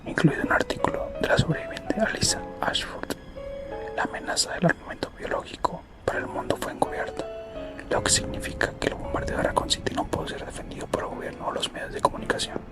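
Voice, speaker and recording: Male ASMR narrator, fully whispered and breathy, steady scripted read with prominent music underneath. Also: quick pace. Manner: hushed, steady reading. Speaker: ASMR channel host. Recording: whisper over background music.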